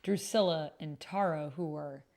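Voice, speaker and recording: A woman's voice: low voice, unhurried, darkish tone. A woman reading chat messages aloud as she types, murmuring to herself in fragments. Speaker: solo roleplay player and video host. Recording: noisy, keyboard typing and clicks. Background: computer keyboard typing, clicks.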